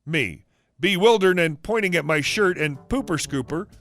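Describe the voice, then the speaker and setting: High-voiced man reading a story. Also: lively intonation, moderate pace, a bit bright, soft background music. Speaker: story narrator.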